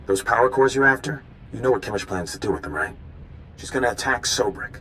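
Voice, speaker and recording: A man playing an earnest, eager undercover informant in a video game, hurried and slightly awkward, with rumbling noise behind him. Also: animated, pushed and bright, rough recording. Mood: urgent, confiding. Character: earnest, eager male rebel informant, secret agent.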